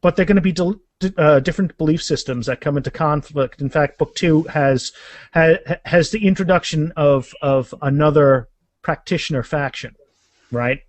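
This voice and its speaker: Guy author chatting on a podcast, kinda high voice, keeps restarting sentences, really dry sound. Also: no room sound. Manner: halting, often restarts and repeats words. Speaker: author being interviewed about their fantasy series. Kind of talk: casual podcast interview.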